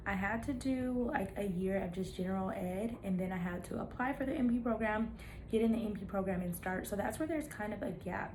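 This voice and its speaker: A woman's voice: mid-range voice, background noise. A woman in her thirties chatting casually to her viewers, encouraging and playful, with laughing asides. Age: 30s. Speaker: nurse practitioner vlogger. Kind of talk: casual chat to camera.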